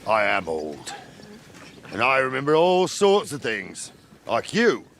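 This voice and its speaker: An actor playing an indignant, dryly scornful old garrison captain in a video game, with a sardonic manner. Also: male voice, mid-range voice, wildly swooping pitch, slow pace, fairly bright tone, a little hiss or hum, heavily acted. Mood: mocking. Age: older. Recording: rowdy crowd behind the voice.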